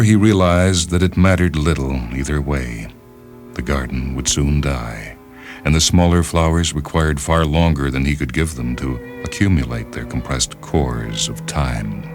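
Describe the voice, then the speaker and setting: A man reading literary fiction as a narrator, in a measured, deliberate and solemn dramatic style. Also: a bass voice. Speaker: narrator, short story reader. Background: background music.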